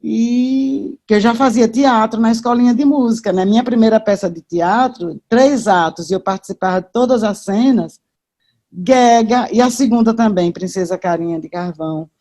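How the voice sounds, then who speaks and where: A woman's voice: a little high, normal speed, warm tone. An older woman with a Northeastern Brazilian accent, reminiscing casually as an interview guest, chatty and full of asides and tag questions.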